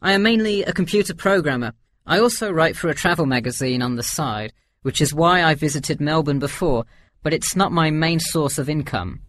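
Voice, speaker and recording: A man's voice: a little high, fairly quick, a bit bright, dry recording, natural voice. A man playing an easygoing middle-aged tourist who gives agreeable, explanatory answers in a scripted listening-test dialogue. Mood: relaxed, candid. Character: easygoing forty-one-year-old male tourist answering survey. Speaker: survey respondent.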